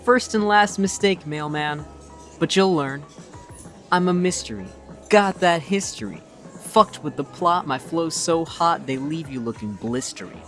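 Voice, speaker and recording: A man playing a cocky, ironic teenage boy in a game fan dub, slangy and cool, full of deadpan bravado. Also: a little high, measured pace. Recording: music under the voice. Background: background music.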